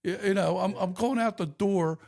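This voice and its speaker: A middle-aged man with a slightly rough voice, co-hosting a casual podcast and rambling through animated stories. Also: high voice, normal speed. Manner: rambling storyteller, animated and laughing. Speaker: podcast co-host. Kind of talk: casual chat.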